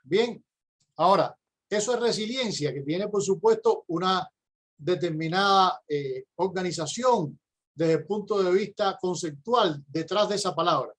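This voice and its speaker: A middle-aged man from Cuba with a Cuban accent, giving a prepared conference lecture as a university professor in a measured, explanatory way with occasional hesitations. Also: sits high, fairly bright tone.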